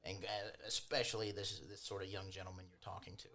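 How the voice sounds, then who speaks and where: A man's voice: sits low, little pitch movement, fast, sharp tone. A man working as game master, casually improvising the narration of a tabletop roleplaying game.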